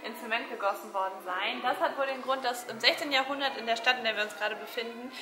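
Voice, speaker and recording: A woman's voice: fairly high pitch, fast, echoey room. A woman in her twenties talking casually to camera as a travel vlogger, explaining and chatting. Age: 20s. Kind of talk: casual vlog chat and explanation. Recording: noisy camera recording with background ambience.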